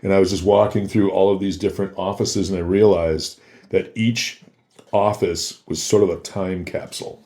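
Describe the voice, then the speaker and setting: A man telling casual anecdotes as a podcast guest, in a halting way that often backtracks. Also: low voice, steady tone, dark tone. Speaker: podcast guest, former security worker and DJ.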